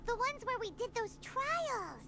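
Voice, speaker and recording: A woman voicing a tiny, cheerful, childlike fairy companion with a squeaky voice in a video game. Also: sing-song, a little slow, heavily acted. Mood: excited. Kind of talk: video game dialogue acting. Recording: noisy game stream capture.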